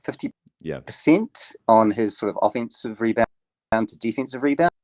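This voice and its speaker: A man guesting on a sports podcast over a remote call, rambling and halting as he reels off stats. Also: mid-range voice, a little mellow, dry recording. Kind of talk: casual sports podcast chat. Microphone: remote call mic.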